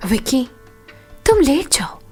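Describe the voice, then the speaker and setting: A woman's voice: sits high, sing-song, exaggerated voice. A woman playing a seductive, patient wife who teaches in a coaxing way, in a Hindi audio drama. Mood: seductive, instructive. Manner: slow, breathy, coaxing delivery. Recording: music behind the voice.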